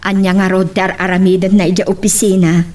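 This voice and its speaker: A woman's voice: mid-range voice, flat delivery, a little fast, darkish tone, natural voice. A woman playing a probing madam boss in an Ilocano radio drama. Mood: suspicious.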